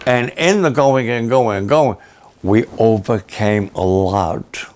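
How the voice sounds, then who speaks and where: Older man, pastor, raspy, hoarse, very slow delivery, halting and repetitive. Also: fairly low pitch, a little mellow. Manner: slow, halting, plainspoken, repeats himself. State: hoarse after illness and a long program. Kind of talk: slow personal update to supporters.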